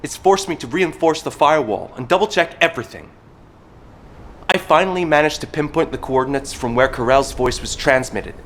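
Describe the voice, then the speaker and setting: An earnest male tech whiz from a video game as an actor plays him, with a somewhat high voice. He is proud and intense, explaining precisely and obsessively. Light ambient noise runs underneath. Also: a little fast, fairly bright tone, close to an everyday voice. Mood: indignant.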